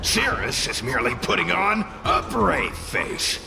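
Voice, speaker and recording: A man voicing a cranky, cocky spirit companion in a video game, brash and gravelly. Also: sits high, very animated, a little slow, full character voice. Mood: cocky, instructive. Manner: brash, swooping, exclaiming. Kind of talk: scripted video game voice acting. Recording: voice under prominent music.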